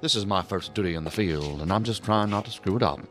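An actor playing a nervous, apologetic rookie security officer in a science fiction audio drama, flustered and deferential. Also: male voice, sits low, quick pace, fairly bright tone, soft background music.

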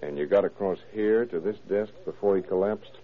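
An actor playing a gruff, probing police detective with clipped, dryly sarcastic delivery in a 1940s radio crime drama. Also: male voice, lowish voice, moderate pace, natural voice. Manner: clipped, commanding, questioning. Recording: muffled, band-limited old radio recording.

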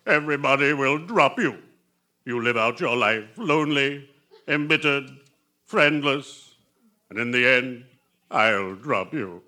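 A friendly but gloomy undertaker from 1940s radio comedy. He talks slowly and grandly, with morbid courtesy and a widely swinging pitch, in a very sharp, forceful voice. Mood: solemn warning. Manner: pompous, morbidly genteel, theatrical deadpan. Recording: bright, old radio show recording.

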